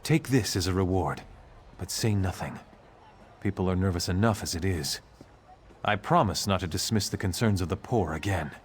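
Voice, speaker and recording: An actor playing a stern, earnest city guard officer in a fantasy game. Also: male voice, low-pitched, normal speed, slightly rough. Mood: troubled, regretful. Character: stern male city guard officer, regretful and duty-bound. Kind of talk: scripted fantasy game dialogue.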